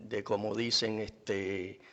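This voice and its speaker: A man hosting a sports talk show, interviewing a guest with eager, quick interjections. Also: lowish voice, flat delivery, a bit bright. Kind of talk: casual interview. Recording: long reverb.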